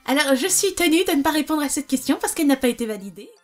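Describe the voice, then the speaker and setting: Woman with a high voice, wry offhand answers, faint music. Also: lively intonation, quick pace.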